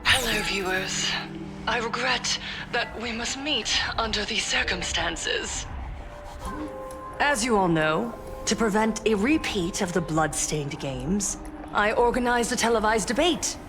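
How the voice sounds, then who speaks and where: A woman's voice: medium pitch, a little slow, natural voice. An actress voicing a composed woman broadcaster in a video game, with a formal, announcer-like delivery. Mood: earnest. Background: game music.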